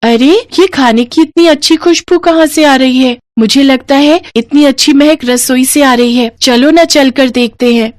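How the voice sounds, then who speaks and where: A woman's voice: high voice, normal speed, hardly any pauses, no echo, studio quality, voice pushed up, natural voice. A woman voicing an innocent six-year-old orphan village girl, childlike and earnest, in a devotional storybook tale. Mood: curious. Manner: childlike, pleading, curious. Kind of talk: Hindi devotional storybook acting.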